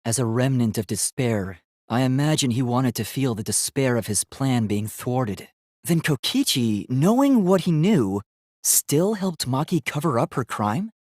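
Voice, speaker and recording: A man's voice: mid-range voice, animated, a little slow, a little mellow, dry recording, studio quality. A man voicing a polite, earnest, logical young male robot in a video game, speaking formally and precisely. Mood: analytical. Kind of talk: English video game voice acting.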